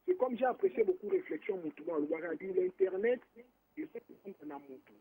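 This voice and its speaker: A man's voice: very high-pitched, huge pitch swings, normal speed, creaky. A man born in Morocco, calling in to a radio show and talking in a rambling, casual way, mixing in Lingala. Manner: rambling, restarts often, mixes French and Lingala. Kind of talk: casual phone-in chat. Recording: phone line on the radio.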